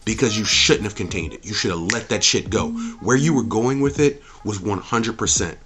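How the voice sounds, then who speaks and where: A man's voice: lowish voice, moderate pace, over music. A man giving an opinionated, off-the-cuff review as a host, speaking emphatically, repeating himself and swearing freely. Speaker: movie reviewer and channel host.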